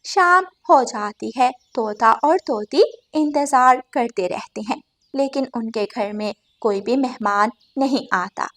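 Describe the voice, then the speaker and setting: A woman's voice: on the high side, slow, fairly bright tone. A woman narrating a scripted cartoon story.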